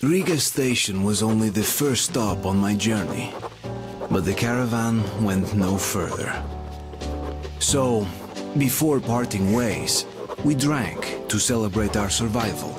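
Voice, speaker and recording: Low-pitched young male survivor played by a man, reflective game narration with music beneath. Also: unhurried, lightly acted. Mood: somber, reflective. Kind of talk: scripted narration. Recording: music under the voice.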